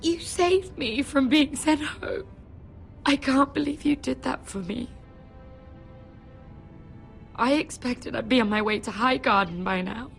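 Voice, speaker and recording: A slow, soft-spoken young noblewoman. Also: high-pitched, vocal fry, soft background music. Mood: earnest. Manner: soft, slow and earnest, dropping to whispers. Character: gentle young noblewoman, earnest and kind.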